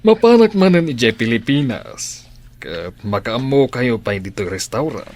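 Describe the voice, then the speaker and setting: A man's voice: medium pitch, very animated, unhurried, darkish tone. A man playing a trusting restaurant boss in a radio drama, with a Filipino accent. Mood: authoritative. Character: trusting restaurant boss, father figure in authority.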